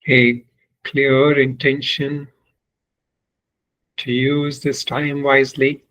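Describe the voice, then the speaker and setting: A man leading a guided meditation as a spiritual teacher, speaking in short, deliberate phrases with long pauses. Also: mid-range voice, flat delivery, fairly bright tone, poor audio. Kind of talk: guided meditation, spoken instruction.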